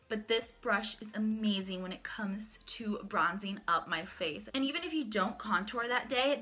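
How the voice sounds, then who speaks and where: A woman's voice: medium pitch, pushed and bright. A young woman in her twenties, a beauty vlogger chatting enthusiastically through her favorite makeup brushes while sick, her voice cracking at times. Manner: chatty, enthusiastic, shows each brush to camera. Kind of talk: casual product walkthrough. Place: small room at home, indoors.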